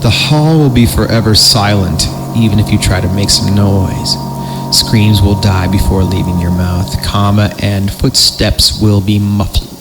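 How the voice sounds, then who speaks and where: A man's voice: fairly low pitch, unhurried, background noise. A man hosting a horror story podcast, narrating theatrically and breaking off for joking asides when he stumbles. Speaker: podcast host reading horror stories.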